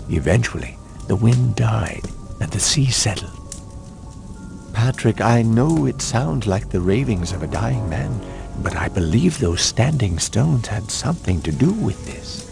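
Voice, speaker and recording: An actor playing a dying, haunted aristocrat in a horror game, grave and dramatic, like a man recounting dark events. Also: lowish voice, creaky, full character voice.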